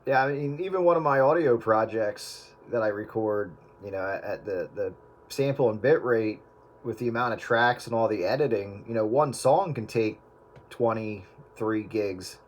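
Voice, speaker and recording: A man's voice: fairly low pitch, moderate pace, slight background noise. Man explaining audio tech. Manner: explanatory, technical, speaks as an audio engineer. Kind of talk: casual chat.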